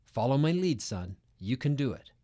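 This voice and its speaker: A male actor playing an encouraging, fatherly man coaching a young climber in a radio drama, steady and reassuring. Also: medium pitch, normal speed, lightly acted.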